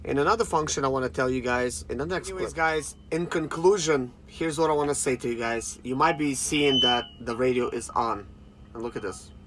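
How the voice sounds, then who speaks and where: A man in his thirties giving a casual, unscripted product review in an informal, halting way, talking straight to his viewers. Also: medium pitch. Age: 30s. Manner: informal, halting, often addressing viewers directly. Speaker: review channel host. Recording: clearly noisy background ambience.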